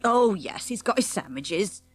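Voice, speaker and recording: Homely village shopwoman, very lively intonation. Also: mid-range voice, measured pace, breathy edge. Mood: chatty, motherly. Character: kindly, busy village shopwoman with grown sons. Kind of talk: audiobook character dialogue.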